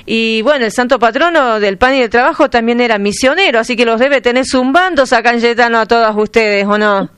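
A woman's voice: on the high side, brisk, hardly any pauses, fairly bright tone, clean tone, dry recording. A woman interviewer, asking questions in a chatty, enthusiastic way. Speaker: radio interviewer. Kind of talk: radio interview.

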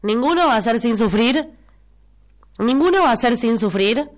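A woman's voice: fairly high pitch, animated, moderate pace. A chatty young Argentine woman hosting a morning radio show while congested, her nose stuffed up and a cough breaking through now and then. She talks expressively and loops back to repeat her lines for emphasis. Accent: Argentine Spanish. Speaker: radio host. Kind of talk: casual morning radio chat reading listener messages. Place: radio studio.

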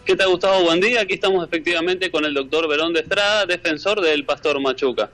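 A man's voice: on the high side, quick pace, sharp tone, soft background music. A man working as a field reporter, presenting on a live news link.

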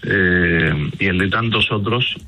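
A man's voice: low-pitched, slow pace, pushed and bright. An Argentine man speaking as an interview guest, indignant and emphatic, with rhetorical turns. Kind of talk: emphatic opinion in a radio interview.

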